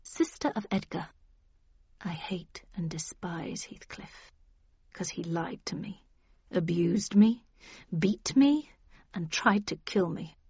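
Narrator playing a wronged woman, seething, hushed, breathy, audiobook character, muffled recording. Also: mid-range voice, lively intonation, slow pace, no echo, exaggerated voice. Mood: hurt, seething. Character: wronged, quietly raging good-looking woman.

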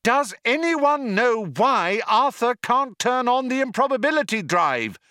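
An exasperated spacewoman in a comic audiobook, put on by a male narrator. She shouts her demands insistently in a high, swooping voice. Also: big pitch swings, unhurried, clear, resonant tone, dry recording, clean studio sound, full character voice.

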